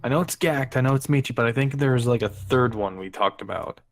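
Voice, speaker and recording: A man's voice: medium pitch, brisk. A man chatting casually and jokingly on a group stream.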